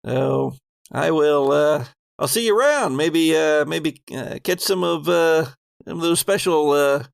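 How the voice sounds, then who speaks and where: Man playing a bashful working guy, pitched up, halting. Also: vocal fry, no room sound, studio quality. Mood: bashful, awkward. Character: shy, awkward, polite working man, friendly and bashful. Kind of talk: improvised role-play by a game master.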